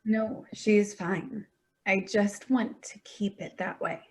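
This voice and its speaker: A woman's voice: mid-range voice, steady tone, takes it slowly, a little mellow. A woman playing an exasperated, defensive adult daughter in a staged play reading, speaking tersely and bristling. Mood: guarded, terse.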